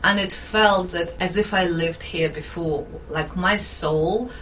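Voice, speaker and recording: A middle-aged woman from Latvia with a Latvian accent, an interview guest speaking earnestly with halting phrasing and nervous laughs. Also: on the low side, a bit bright, distant mic, slight background noise, low-quality recording. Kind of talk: radio interview.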